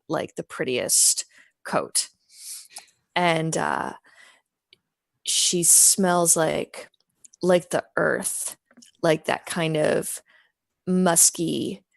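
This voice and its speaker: Woman, low gravelly voice, slow, close dry recording. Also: no echo. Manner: thoughtful, searching for words. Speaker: tabletop game player. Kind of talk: casual actual-play chat.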